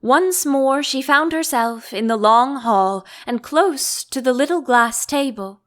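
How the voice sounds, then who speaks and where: High-voiced, slow-paced woman narrator. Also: animated. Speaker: audiobook narrator. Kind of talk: scripted storybook narration.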